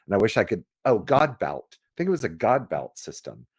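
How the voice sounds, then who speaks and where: A man co-hosting a casual chat about computer history, haltingly thinking out loud as he goes. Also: fairly low pitch, big pitch swings, husky. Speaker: podcast co-host.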